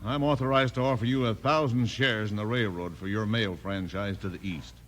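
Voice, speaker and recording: A man's voice: fairly low pitch, fairly even intonation, fairly quick, fairly bright tone, natural voice. A man playing a plain-dealing, businesslike railroad man in 1950s western radio drama. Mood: earnest, conciliatory. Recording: vintage 1950s radio recording.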